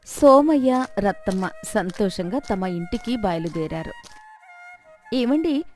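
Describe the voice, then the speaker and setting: A woman's voice: fairly high pitch, expressive, moderate pace, a little mellow, soft background music. A woman narrating a scripted moral story as a storyteller.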